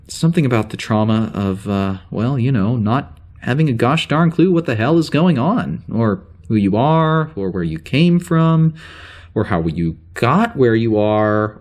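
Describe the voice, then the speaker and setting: A man's voice: fairly low pitch, a little mellow. A man playing an anxious, rambling spaceship security officer in an audio drama, speaking a log in a hesitant, wry, self-correcting way.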